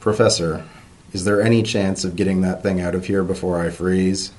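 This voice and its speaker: A man's voice: low voice, steady tone, normal speed, darkish tone, close to an everyday voice. A man playing a weary, grumpy male pony bureaucrat with a dry, exasperated manner in a storybook reading.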